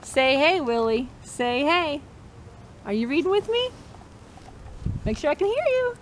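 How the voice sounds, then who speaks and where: Woman, high voice, interactive picture-book read-aloud for kids, encouraging; slightly noisy recording. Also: very animated, unhurried. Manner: encouraging, chanting refrains for listeners to repeat. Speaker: storytime host reading a picture book to children.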